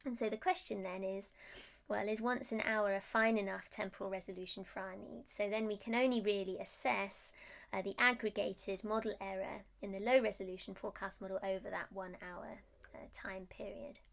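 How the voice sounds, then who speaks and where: A woman's voice: medium pitch, steady tone, moderate pace, vocal fry, a bit bright. A woman presenting research as a scientist, explaining technical slides in a hesitant, stop-start way.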